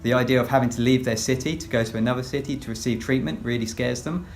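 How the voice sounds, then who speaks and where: English man speaking in measured tones. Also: medium pitch, a little mellow. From England, West Sussex. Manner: measured, with frequent fillers. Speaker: children's pastor. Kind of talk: recorded personal update.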